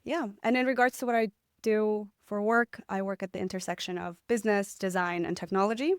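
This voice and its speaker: A young woman from Poland, a podcast guest, talking in a reflective, relaxed way with light laughs. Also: mid-range voice, fairly quick, smooth, no echo, clean studio sound. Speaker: guest, agency founder. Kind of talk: podcast interview.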